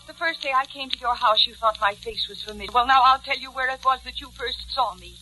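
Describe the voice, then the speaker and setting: A cold vengeful schemer, very sharp forceful high voice, biting mockery, 1940s radio drama. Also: female voice, a little fast, rough recording. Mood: scornful, vengeful. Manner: biting, mocking, hard. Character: cold, scornful, vengeful female double-crosser. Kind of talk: 1940s radio thriller acting. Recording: old 1940s radio recording, faint music.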